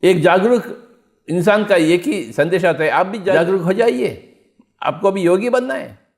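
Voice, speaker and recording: A high-voiced man delivers a spiritual discourse as a meditation teacher. He exhorts his listeners, repeating key phrases and putting rhetorical questions to them. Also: expressive, a little slow, creaky.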